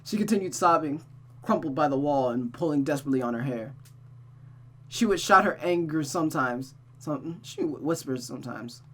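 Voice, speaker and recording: Woman narrating with lively, expressive pitch. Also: moderate pace. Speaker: host reading a visual novel. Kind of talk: story narration with casual asides. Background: music.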